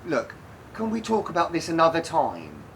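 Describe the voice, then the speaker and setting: A man's voice: fairly high pitch, wildly swooping pitch, normal speed, fairly bright tone, slight background noise, heavily acted. A man playing an uneasy, defensive young husband in a radio play, hesitant and placating.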